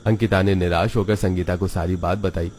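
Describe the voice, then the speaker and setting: A man's voice: sits low, fairly even intonation, fairly quick, a little mellow, soft background music. A man narrating a children's cartoon story from a script.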